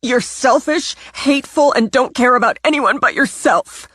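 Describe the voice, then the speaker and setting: A woman voicing a tearful, frantic young woman who pleads in a high, sobbing voice. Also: moderate pace, a bit bright, dry recording, studio quality. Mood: furious.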